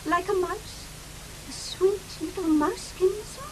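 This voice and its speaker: An actress playing the meek, plaintive ghost of a gentle wife in a radio drama with a hissy recording. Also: female voice, extremely high pitch, measured pace. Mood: wistful, meek. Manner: plaintive, timid.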